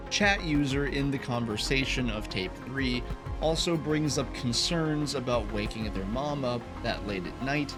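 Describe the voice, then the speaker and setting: A man hosting a video essay, reading a scripted, analytical explanation. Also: mid-range voice. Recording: voice over background music. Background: background music.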